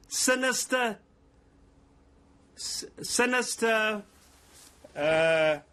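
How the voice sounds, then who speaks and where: A man whose first language is Afrikaans, speaking English with an Afrikaans South African accent, making deliberate, emphatic points in a formal TV interview. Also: high-pitched, fairly bright tone. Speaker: interviewee, police officer.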